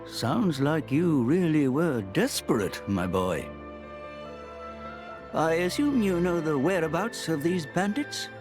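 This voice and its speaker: A slow, fatherly old titan creature. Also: a little high, sing-song. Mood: sympathetic. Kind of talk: video game voice acting. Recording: game cutscene with music underneath.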